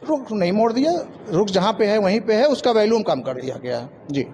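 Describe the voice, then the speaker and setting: A man's voice: high voice, animated, fairly quick, darkish tone, a little hiss or hum. A man giving plain-spoken, explanatory interview answers and repeating his points. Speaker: interviewee, local resident.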